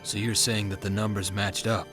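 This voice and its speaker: Guy playing doubtful agent, low voice, questioning, music behind, game cutscene. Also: level tone, moderate pace, close to an everyday voice. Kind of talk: English dub acting in a video game cutscene.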